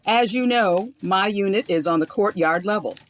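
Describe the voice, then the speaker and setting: An actress plays a cantankerous female busybody with a mid-pitched voice. She carps indignantly and suspects everyone, in the manner of a comic radio play. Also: moderate pace, natural voice. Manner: indignant, carping. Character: nosy, suspicious, cantankerous female condo busybody. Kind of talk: comic audio drama acting.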